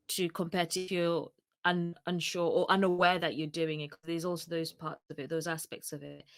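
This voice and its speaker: Low-voiced woman with a British accent. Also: no room sound. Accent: British English. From Uganda. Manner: reflective, rambling with restarts. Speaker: podcast co-host. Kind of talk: casual chat.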